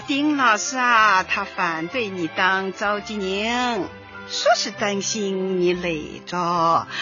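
High, slow, theatrical old granny. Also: female voice, pushed and bright, exaggerated voice. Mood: knowing, teasing. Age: older. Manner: drawn-out, theatrical sighing and interjections. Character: chatty, knowing old granny neighbour, playful amateur analyst. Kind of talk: light comedy radio drama acting. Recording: dull lo-fi audio, faint music.

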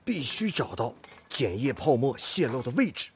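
An actor playing a determined, good-natured factory master technician in a radio drama, earnest and full of sighing interjections. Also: male voice, medium pitch, sing-song, normal speed, slight background noise. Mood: strained.

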